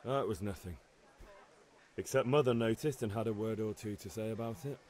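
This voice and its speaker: A man's voice: fairly low pitch, a little fast, darkish tone, natural voice. An actor playing a restless, earnest young village man eager for adventure in a medieval video game. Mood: dismissive.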